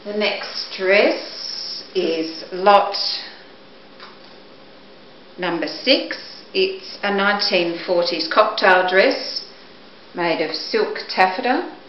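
Slow-talking middle-aged woman in echoey room. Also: mid-range voice. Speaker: auction presenter. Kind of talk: product showcase, descriptive talk. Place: indoors, living or dining room at home. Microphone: camera microphone a few steps away. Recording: echoey room, dull muffled sound, background hiss.

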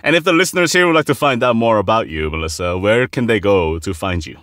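A man's voice: fairly low pitch, expressive, fast talker, fairly bright tone, no room sound, studio quality. A man hosting a podcast interview, talking in a casual, conversational style. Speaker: podcast host.

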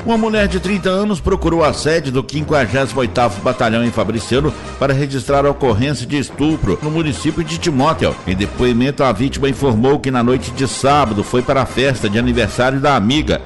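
A Brazilian man narrates scripted police news as an announcer. His pitch sits in the middle range, and music plays clearly behind him. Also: a little fast, hardly any pauses. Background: music under the voice.

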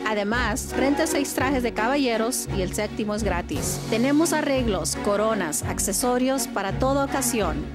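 A woman's voice: fairly high pitch, animated, brisk, few pauses. A woman reading scripted advertisement narration as a commercial voice-over announcer. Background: loud music.

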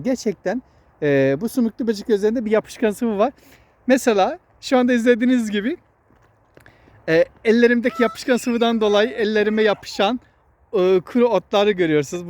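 A woman's voice: mid-range voice, a little mellow. A woman hosting a casual outdoor vlog, explaining nature to children in an instructive way and often repeating her words. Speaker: vlog host guiding children. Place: outdoors in a wooded area.

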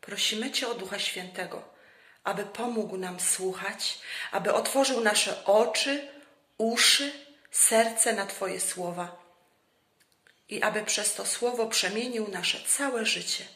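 A middle-aged woman addressing children and leading prayer in measured, devotional phrasing, as a presenter of a children's Bible programme. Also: mid-range voice, a bit bright, echoey room. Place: indoors, small room with plain wall.

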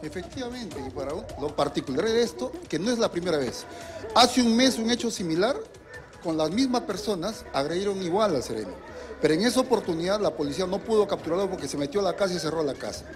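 A man's voice: high voice, expressive, fast. A middle-aged Peruvian man, a municipal security manager, answering earnestly in a live outdoor TV interview with fillers and restarts. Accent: Peruvian Spanish. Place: outdoors on a city street. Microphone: handheld reporter mic. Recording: music bed underneath.

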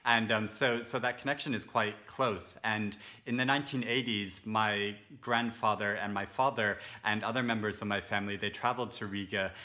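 Bright-voiced man rambling to camera. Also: lowish voice, fairly even intonation, normal speed, hardly any pauses. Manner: rambling, many fillers and restarts. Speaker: book vlogger. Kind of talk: casual talk to camera. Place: indoors, seated on a sofa.

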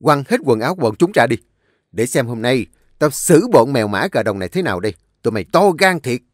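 A man's voice: fairly low pitch, animated, fairly quick, darkish tone, no echo, studio quality. A man voicing a stern young master of a rich house who barks orders at servants. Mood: commanding. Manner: barking orders.

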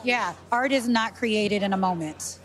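A woman guest at a red carpet interview, talking into a handheld mic in a measured way and correcting herself as she goes. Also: medium pitch, a bit bright. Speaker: guest, wife of a musician. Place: outdoor red carpet at an awards show. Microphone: handheld mic held by interviewer. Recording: busy crowd noise behind.

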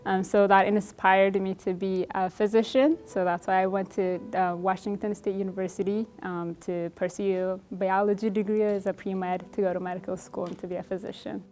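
A young woman from Ethiopia answers questions on a dark studio stage, hesitant and full of ums. Her voice stays quite flat and level in pitch. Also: normal speed, hardly any pauses. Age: young adult. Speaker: contestant guest. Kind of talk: interview answers.

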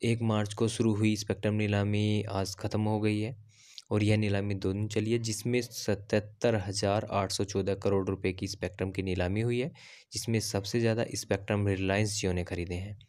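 Male host, low and flat, voice-over reading a document line by line. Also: clean tone. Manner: reads slowly and haltingly in English passages; lists circles and figures off slides. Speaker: channel host. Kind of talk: explainer reading documents on screen. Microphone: voice-over over slides.

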